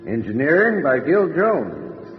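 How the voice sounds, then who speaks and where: An actor playing a macabre, gleeful radio horror host who relishes puns, with a theatrical, sardonic delivery. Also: male voice, mid-range voice, wildly swooping pitch, unhurried, faint music behind. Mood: ominous, formal. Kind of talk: old-time radio horror narration. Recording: muffled, heavily reverberant radio recording.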